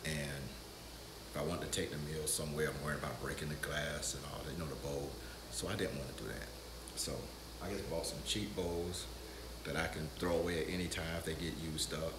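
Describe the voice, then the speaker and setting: Very deep-voiced man in his fifties. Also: a little fast. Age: 50s. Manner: relaxed, conversational, talks straight to the camera. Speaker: vlogger. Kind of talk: casual how-to talk. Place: home kitchen, indoors. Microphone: camera mic from a few feet away. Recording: echoey kitchen with background noise.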